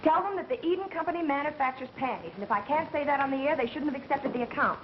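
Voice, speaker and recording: An actress playing a brisk, quick-witted young radio career woman in 1930s screwball style. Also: high-pitched, lively intonation. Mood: brisk, wry. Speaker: actor in a film. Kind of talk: 1930s fast screwball film acting. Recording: muffled, hissy 1930s film soundtrack.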